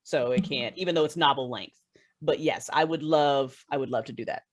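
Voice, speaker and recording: A woman talks casually as a guest on a podcast. Her way of speaking is informal and effusive, and she is quick to laugh. The recording is dry, without room echo. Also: sits low, lo-fi sound. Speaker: podcast guest, writer and audio fiction creator. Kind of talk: casual chat.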